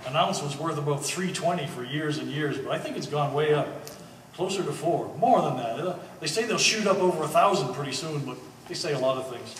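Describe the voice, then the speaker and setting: In a reverberant hall, some way from the mic, a man plays a wry, excitable treasure hunter on stage. His voice is fairly high, and he muses aloud in halting bursts. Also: far from the mic. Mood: wry, explaining. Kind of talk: live one-act stage play. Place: art gallery performance space. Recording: echoey hall from afar, faint noise.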